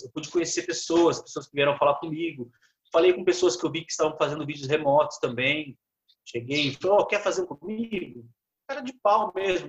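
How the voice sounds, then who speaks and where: A man with a Brazilian accent, a guitarist chatting as a podcast guest in a relaxed, halting way full of fillers and restarts. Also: a little high, muffled recording. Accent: Brazilian Portuguese. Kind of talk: casual podcast chat. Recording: roomy echo.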